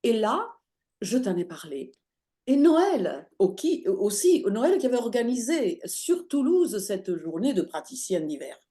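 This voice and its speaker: Animated woman telling her story warmly. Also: a little high, a little slow. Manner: lively, searching for words with many fillers. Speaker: interview guest, holistic practitioner. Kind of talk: conversational storytelling.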